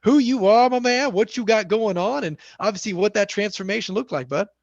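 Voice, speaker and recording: A man's voice: high-pitched, a little fast, dry recording, highs cut off. A man hosting a podcast, chatting in a hyped, buddy-style way and calling his guest "man" and "bud.". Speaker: podcast host. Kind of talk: casual podcast interviewing.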